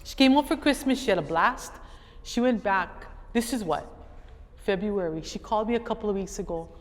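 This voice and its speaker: A middle-aged woman with a Hawaiian accent, speaking as an educator and guest speaker. She tells a story to an audience in an animated way and acts out other people's voices. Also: medium pitch, a little fast. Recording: echoey hall, faint background noise.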